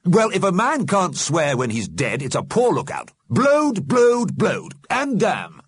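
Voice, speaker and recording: A man's voice: high voice, wildly swooping pitch, unhurried, breathy edge, no room sound, studio quality, pitched above the performer's own voice, full character voice. A man voicing a blustery, restless dead alderman, grumbling and blunt, for a storybook. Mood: defiant grumbling.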